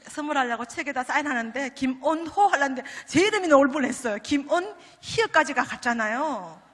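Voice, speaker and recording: A woman's voice: high voice, bright, forceful tone. Joking woman with a Gyeongsang accent in a reverberant church. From Daegu, South Korea. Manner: chatty and joking, teasing the audience with asides. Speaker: pastor's wife speaking at a prayer meeting. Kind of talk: humorous testimony sermon. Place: large church sanctuary. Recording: long reverb of a large church hall.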